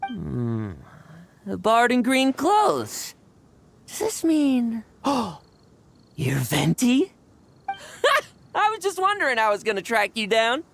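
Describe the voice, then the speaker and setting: A woman voicing an energetic, cocky young male knight in a video game. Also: fairly high pitch, slow pace, gravelly, long pauses, heavily acted. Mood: friendly. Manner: energetic, cocky, laughs easily.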